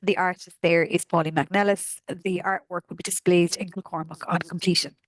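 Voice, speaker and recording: Kerry irish woman, hoarse sore throat, dry close sound, chatty with lots of ums. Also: fairly low pitch, steady tone, moderate pace, no echo. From Kerry, Ireland. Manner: chatty, friendly, frequent ums and uhs. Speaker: arts office presenter. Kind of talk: walking through an events newsletter.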